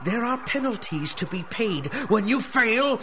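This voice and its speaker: A cruel, domineering villain of radio drama, pitched high and theatrical. He sneers grandly at his underlings over a noisy backdrop. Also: expressive, measured pace, a bit bright. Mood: taunting threat. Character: menacing male crime boss, the Rat Lord, cruel and theatrical. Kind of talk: 1930s-style radio drama acting.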